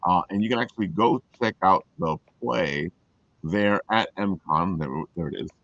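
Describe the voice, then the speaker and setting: Man, show host, low voice, dry sound, folksy and emphatic, casual plugging chat, lo-fi recording. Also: moderate pace, a bit bright, no echo. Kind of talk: casual promotional livestream chat.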